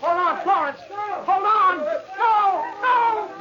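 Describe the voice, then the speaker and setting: High-pitched, frantic rescuer. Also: male voice, slow, bright, forceful tone, heavily acted. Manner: urgent, agitated. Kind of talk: 1940s radio acting. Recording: muffled old radio recording.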